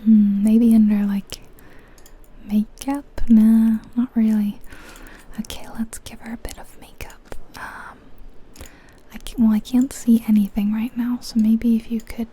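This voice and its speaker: Woman, breathy whisper, very dark soft tone, ASMR game commentary, unhurried musing. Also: a little high, little pitch movement. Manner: unhurried, softly musing aloud. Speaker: ASMR video creator.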